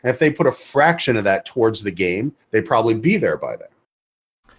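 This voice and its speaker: A man's voice: fairly low pitch, sing-song, normal speed, slightly rough. A man co-hosting an opinionated gaming podcast, emphatic, stumbling into sentences and repeating phrases to hammer a point. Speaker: podcast co-host. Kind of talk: heated opinionated discussion of a video game.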